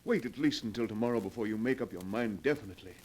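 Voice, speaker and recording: An actor playing a genteel, unstable recluse in a 1940s radio drama, smooth-spoken and persuasive. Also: male voice, fairly low pitch, rapid-fire, darkish tone. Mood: insistent.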